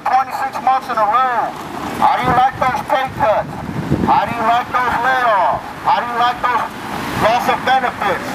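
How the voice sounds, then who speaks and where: A man giving a sarcastic, declamatory protest speech through a megaphone outdoors, with mock-pleading appeals. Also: extremely high pitch. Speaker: street protester. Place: outdoors on a city street. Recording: loud street noise, megaphone outdoors.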